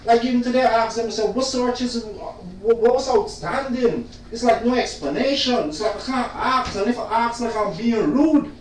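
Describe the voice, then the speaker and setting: A man complaining in a loud, insistent, repetitive way about the poor service from his solicitor, talking casually. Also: extremely high pitch, moderate pace, few pauses, very echoey, far from the mic, slight background noise. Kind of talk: casual venting monologue.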